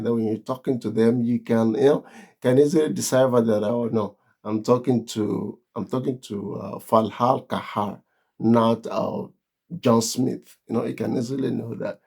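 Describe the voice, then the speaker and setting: Gravelly Nigerian man giving earnest warnings. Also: mid-range voice, warm tone. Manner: earnest, emphatic warnings, repeats phrases. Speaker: vlogger. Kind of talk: casual talk to camera.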